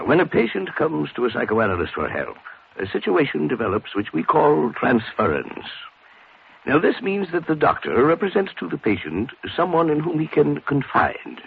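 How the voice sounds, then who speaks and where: An actor playing an older psychoanalyst in a 1940s radio drama, lecturing and probing in a halting, excitable way. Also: male voice, fairly high pitch, creaky. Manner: didactic, halting, swings into excitement. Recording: scratchy, muffled 1940s radio recording.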